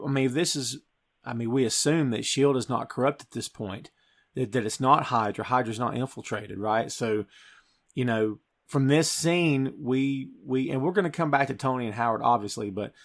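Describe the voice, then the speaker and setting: A man with a mid-range voice hosts a movie review podcast. He speaks emphatically and excitably, stressing his points and addressing his listeners directly. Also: medium pitch. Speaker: podcast host. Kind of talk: film review podcast talk.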